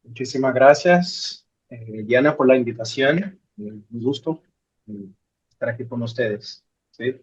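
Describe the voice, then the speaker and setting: A man's voice: medium pitch, a little slow. A Brazilian man whose first language is Portuguese, giving an academic talk in Spanish as a guest speaker, speaking hesitantly and checking in with his listeners. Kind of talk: academic talk over video call. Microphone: video call. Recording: dull video call audio.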